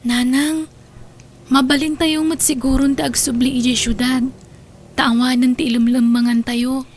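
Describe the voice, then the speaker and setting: A woman's voice: high voice, little pitch movement, normal speed, a little hiss or hum, natural voice. A woman playing a worried, plaintive young daughter in an Ilocano audio drama. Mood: wistful.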